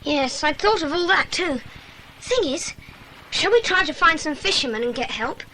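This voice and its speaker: A bold young boy takes charge in a dramatised children's audiobook. The voice is very high and lively, with crisp orders and a decisive manner. Clear background noise sits behind it. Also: normal speed, exaggerated voice, rough recording. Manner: commanding, decisive. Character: bold, bossy adventurous boy leading his friends.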